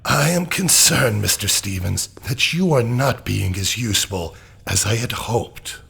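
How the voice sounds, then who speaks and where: A cold, threatening villain in a video game cutscene. The voice is extremely husky and gravelly, and each word comes out very slowly and with deliberate menace. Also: male voice, lowish voice, expressive, pushed and bright, exaggerated voice. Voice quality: rasping, gravelly whisper.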